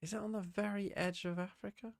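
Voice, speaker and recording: A man's voice: high voice, level tone, a little fast, gravelly, no echo. A man streaming live, chatting casually and muttering to himself as he plays. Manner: muttering to himself while playing.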